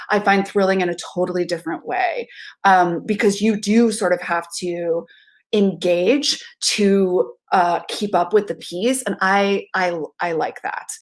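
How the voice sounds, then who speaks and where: A woman in her thirties giving reflective, earnest and emphatic interview answers as a theatre director, on a video call from a room at home. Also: mid-range voice. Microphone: video call with headphones.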